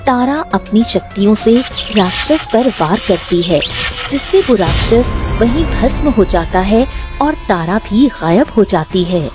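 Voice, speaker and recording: A woman's voice: mid-range voice, animated, a little slow, loud music behind the voice. A woman narrating a scripted village fairy tale as a storyteller.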